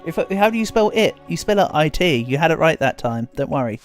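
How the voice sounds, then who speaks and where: A man's voice: fairly high pitch, sing-song, a little fast, soft background music. A man streaming a video game, chatting casually and rambling, sounding a bit congested with a cold coming on. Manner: chatty, rambling, self-deprecating. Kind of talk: casual game livestream chat.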